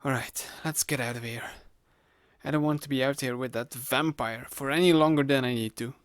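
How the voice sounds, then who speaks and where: A man playing a sly, playful young male student hypnotist in a conversational ASMR roleplay, speaking to the listener in a gravelly, teasing voice. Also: mid-range voice, steady tone, fairly quick, dry recording, clean studio sound, close to an everyday voice. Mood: relieved, friendly. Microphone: close studio-style microphone, dry.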